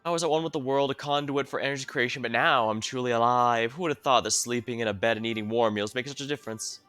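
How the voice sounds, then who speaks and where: A man voicing an excitable, eccentric researcher in a video game, with effusive, theatrical wording. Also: medium pitch, fast, smooth, soft background music, natural voice. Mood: elated. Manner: effusive, theatrical wordy speech. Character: excitable overworked researcher, freshly rested, eccentric.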